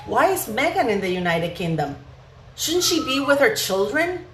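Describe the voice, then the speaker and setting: A woman's voice: on the high side, big pitch swings, normal speed, fairly bright tone. A woman hosting an opinionated gossip commentary vlog, speaking emphatically and indignantly with rhetorical questions. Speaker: vlog host. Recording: echoey room with faint background noise.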